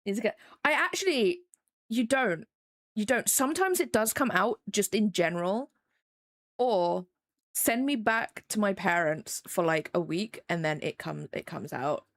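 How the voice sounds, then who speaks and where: A woman's voice: mid-range voice, unhurried, a bit bright, no echo, clean studio sound. A young English woman with a faint Midlands accent, chatting casually with her live-stream chat while she draws, rambling and self-deprecating. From England.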